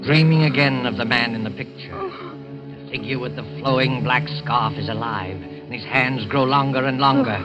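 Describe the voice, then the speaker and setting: A man narrating a 1940s radio suspense story in a measured, ominous, dramatic manner. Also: mid-range voice. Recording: dull old radio recording. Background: dramatic music.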